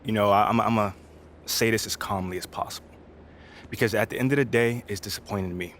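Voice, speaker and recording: A man's voice: lowish voice, fast talker, a bit bright, lightly acted. An actor playing an earnest young male basketball rookie in a video game, talking in an informal, self-assured, slangy way. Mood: calm, disappointed. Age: young adult. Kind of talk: video game cutscene acting, casual interview talk. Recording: clear background noise.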